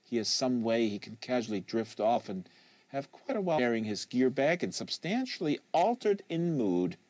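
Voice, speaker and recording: A man's voice: mid-range voice, big pitch swings, normal speed, darkish tone. A man reading literary fiction aloud as scripted narration, close to a studio microphone. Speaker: audiobook reader and host. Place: small acoustically treated home studio.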